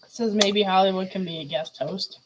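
A woman with a mid-range voice hosts a relaxed chat, asking questions and laughing easily. A faint hiss of noise sits under her voice. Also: medium pitch, normal speed. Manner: giggly, chatty. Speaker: podcast host. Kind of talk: casual chat.

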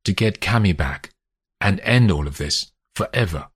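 A man voicing a guarded, evasive husband who talks quietly and calmly. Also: sits low, normal speed, slightly rough, no echo. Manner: quiet and calm.

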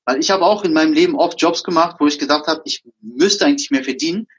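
Husky man from Berlin, lo-fi sound. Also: a little high, quick pace, a bit bright. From Berlin, Germany. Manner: anecdotal, acts out dialogue. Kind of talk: casual conversation. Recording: dull lo-fi sound.

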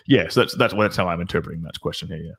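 A deep, low-voiced man with a husky, slightly rough edge talks with sure confidence as a guest dating coach. He rambles in casual chat and restarts sentences, but keeps a self-assured drive. His tone stays low and grainy throughout. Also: racing, fairly bright tone.

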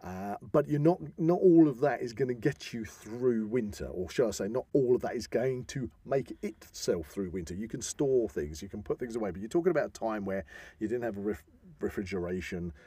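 Low, mellow English man explaining things. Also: lively intonation, fairly quick, slightly rough, no echo. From England. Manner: discursive, explanatory. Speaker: podcast host. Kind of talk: casual podcast discussion.

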